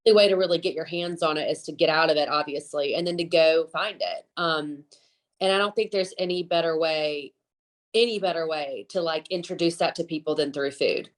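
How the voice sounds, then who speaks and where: A woman's voice: deep voice, brisk. A woman with a Southern US accent, chatting casually as an interview guest, talkative and emphatic about her views. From Alabama, southern US. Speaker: guest, chef. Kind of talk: casual podcast conversation.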